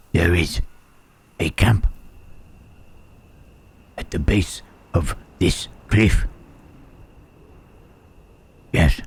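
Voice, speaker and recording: Man acting wary gentle creature, very slow, low-pitched, hushed, halting, old-fashioned wording, intimate ASMR roleplay. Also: breathy edge, exaggerated voice. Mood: wary, confiding. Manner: halting, formal archaic phrasing, questioning "huh".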